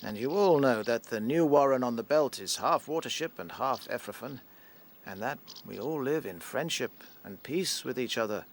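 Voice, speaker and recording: A man playing an aged, kindly, wise rabbit chief in a radio drama, speaking in a fatherly, measured way. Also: mid-range voice, lively intonation, slight background noise, lightly acted. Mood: fond, storytelling.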